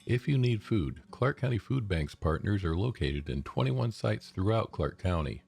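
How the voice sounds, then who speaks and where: A man's voice: fairly low pitch, expressive, normal speed, gravelly, a little mellow, breathy edge, faint music behind. An American man reading scripted public service announcements for radio.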